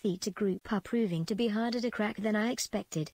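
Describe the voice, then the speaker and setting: A woman's voice: mid-range voice, brisk, darkish tone, no room sound, lightly acted. An actress playing a ruthless female prison commandant in a space empire, dictating clipped official log entries for a video game. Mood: cold, smug.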